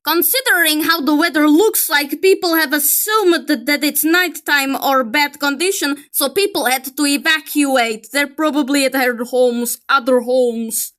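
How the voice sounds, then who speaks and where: A woman's voice: high-pitched, a bit bright. A woman giving opinionated commentary in an exasperated, emphatic manner. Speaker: video creator. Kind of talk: commentary reacting to a film critique.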